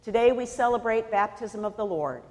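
A woman from California preaching a sermon in a measured, deliberate way, pausing for emphasis. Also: on the high side, expressive, big-room sound. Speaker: pastor.

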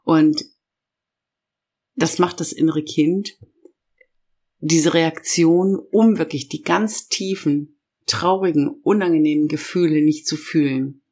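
A middle-aged woman hosting a self-help podcast, her voice hoarse from a cold, speaking slowly and emphatically as she lists feelings and addresses the listener directly. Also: low-pitched, steady tone, dark tone. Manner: slow, emphatic listing of feelings. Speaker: podcast host and inner-child coach. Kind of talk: warm guided podcast talk.

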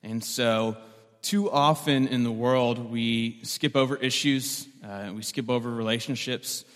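A man preaches to his congregation in a loose, joking way, often doubling a word before carrying on. His voice sits at an ordinary middle pitch. A long reverb trails behind each phrase, as if the sound fills a large hall. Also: a little slow. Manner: conversational and joking, repeats words as he restarts. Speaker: pastor. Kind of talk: sermon.

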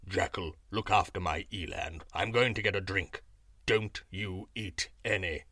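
A huge, angry lion from a folk tale, played by a man, who roars out threats and commands. His voice is bright and forceful. The recording is dry and close, with no room sound. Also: low-pitched, a little slow, dry recording, pitched below the performer's own voice, heavily acted. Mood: commanding. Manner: loud threatening roars and orders. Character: big angry male lion, gruff and commanding.

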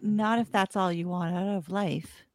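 A woman's voice: medium pitch, fairly even intonation, a little slow, close to an everyday voice. Firm heroine, acted by a woman: composed, radio play, slight ambient noise behind. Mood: dry. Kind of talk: live radio play reading.

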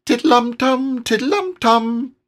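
A tiny, nervous piglet from a children's storybook, pitched very high and squeaky. The delivery is anxious and tremulous, swooping up and down. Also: male voice, animated, slow pace, fairly bright tone, full character voice. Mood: careless, grand.

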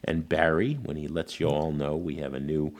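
A man's voice: very deep voice, normal speed. A man co-hosting a podcast in casual, jokey chat. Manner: jokey, animated. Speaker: podcast co-host.

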